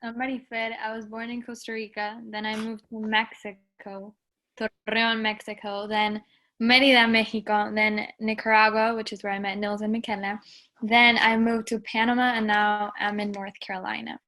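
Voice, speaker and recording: A woman introducing herself to friends, listing places in a steady run. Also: on the high side, normal speed. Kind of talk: casual chat among friends.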